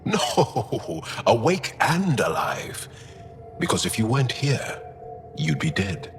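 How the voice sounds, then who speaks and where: Very slow-spoken, hearty alien comrade. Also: male voice, fairly low pitch, wildly swooping pitch, long reverb tail, music in the background, heavily acted. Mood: jubilant, warm. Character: hearty, philosophical adult male alien comrade. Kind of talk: video game voice acting.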